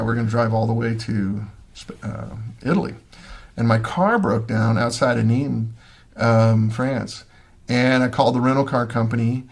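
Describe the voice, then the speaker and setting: Livestream host: a middle-aged Midwestern man with a gravelly voice, loose and rambling, lots of ums. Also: medium pitch. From Missouri, USA. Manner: rambling, many ums and restarts. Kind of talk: casual chat, answering viewer comments. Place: home.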